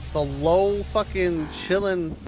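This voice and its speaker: A man's voice: high-pitched, slow, music in the background, rough recording. A man hosting a live watch-along, giving casual reaction commentary with swearing and muttered asides.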